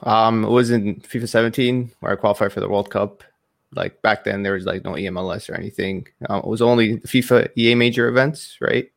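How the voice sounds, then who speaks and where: A man from Iraq who moved to Canada, chatting casually as an interview guest, relaxed and colloquial with many filler phrases. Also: lowish voice, fairly quick, gravelly. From Iraq, moved to Canada in 2003. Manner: relaxed, colloquial, frequent 'like' and 'you know'. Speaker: esports pro, interview guest.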